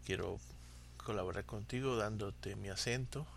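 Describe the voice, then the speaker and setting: Low-voiced coastal Ecuadorian man. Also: gravelly, slight background noise. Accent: coastal Ecuadorian Spanish. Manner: halting and unhurried, pausing often to find words. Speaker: listener sending a recorded message. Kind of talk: casual spoken message to a podcast host.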